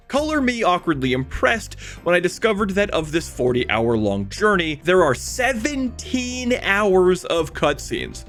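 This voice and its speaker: A slightly high-voiced man narrates a scripted video essay with a very lively, swinging intonation. He keeps it conversational and drops in wry asides, with music playing underneath.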